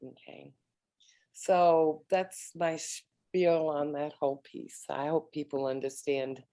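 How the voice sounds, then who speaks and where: Woman educator on a video call: slow, low-ish, mellow voice, halting with repeats and fillers. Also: little pitch movement. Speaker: educator and panelist. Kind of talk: online round table discussion.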